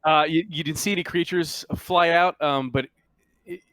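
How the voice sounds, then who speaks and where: A man working as a game master, casually narrating scenes and explaining rules in a halting way as he searches for words. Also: a little high, fairly even intonation, fairly bright tone, no echo.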